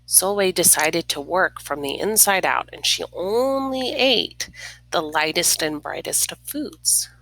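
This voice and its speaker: A woman reads a picture book aloud at a very slow, expressive pace. Her voice is bright yet creaky, with dramatic pauses that can fall toward a whisper and a few casual asides. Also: fairly low pitch, rough recording. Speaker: storybook reader.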